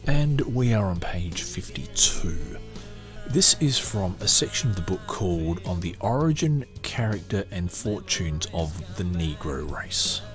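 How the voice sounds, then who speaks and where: A man hosting a podcast, chatting with many hesitations, then reading a book aloud in a steady, measured way. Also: deep voice. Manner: hesitant, filler-heavy chat between measured reading. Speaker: podcast host. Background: faint guitar music.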